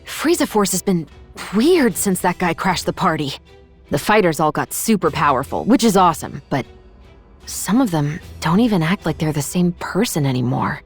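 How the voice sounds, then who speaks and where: Plucky alien fighter, young, sassy, lively intonation, music behind, video game cartoon acting. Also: female voice, on the low side, normal speed, husky, full character voice. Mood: uneasy, chatty. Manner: informal, feisty.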